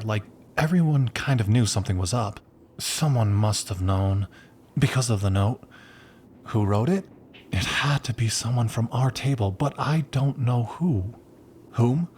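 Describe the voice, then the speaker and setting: A man's voice: on the low side, darkish tone, slight background noise, natural voice. An actor playing an earnest young man who rambles awkwardly and corrects himself in a gossipy, conversational way. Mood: awkward, puzzled.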